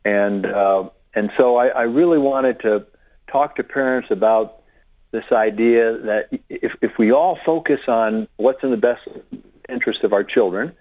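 A man in his fifties from Connecticut, a guest author on a radio interview, talking haltingly with many fillers and restarts over a phone line. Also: medium pitch, dry recording.

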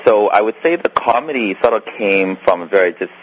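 A man's voice: on the low side, expressive, brisk, rough recording. A man who grew up in Hong Kong, with a Hong Kong accent, answering interview questions about his film in a rambling way with many restarts. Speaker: filmmaker guest.

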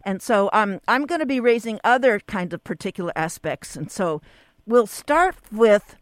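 A woman hosts a radio interview program in a voice of middle pitch. She reads a formal introduction and then asks questions, restarting some sentences. The studio sound is dry and close. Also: expressive, normal speed, no echo. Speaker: radio show host.

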